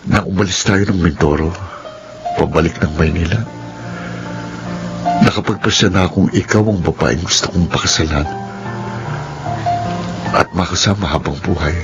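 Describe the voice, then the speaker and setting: A man's voice: low voice, moderate pace, long pauses, poor audio. A man with a Filipino accent acting an earnest, tender young suitor in a romantic drama, with a pleading, sweet-talking manner. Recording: music bed under dialogue.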